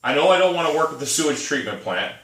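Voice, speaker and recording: A man's voice: fairly high pitch, animated, fast, fairly bright tone. A man in his thirties co-hosting a casual chat, rambling through stories and laughing often. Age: 30s. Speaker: podcast co-host. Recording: echoey room, mic at a distance.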